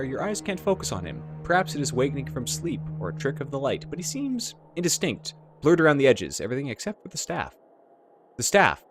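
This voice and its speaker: Animated man playing a video game. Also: mid-range voice. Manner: informal, slangy, thinking aloud. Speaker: let's player. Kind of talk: casual gameplay commentary. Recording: game music behind. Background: video game music.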